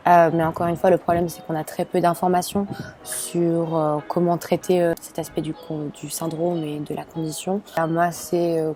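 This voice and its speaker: A young woman in her late teens from Belgium, telling her own story with many pauses, fillers and restarts. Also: sits low, dark tone. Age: young adult. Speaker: student sharing her experience. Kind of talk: personal testimony to camera.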